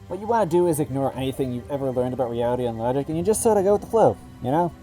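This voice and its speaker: A man voicing a small, jittery but laid-back male minion creature with a loud, high, excitable voice. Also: rapid-fire, faint music behind, natural voice, rough recording. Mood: casual.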